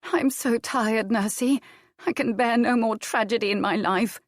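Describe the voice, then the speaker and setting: A woman's voice: medium pitch, moderate pace, dry recording, studio quality, pitched above the performer's own voice, lightly acted. A woman voicing a sobbing young widowed noblewoman. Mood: grieving. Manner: sobbing, tearful pleading. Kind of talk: audiobook character voice.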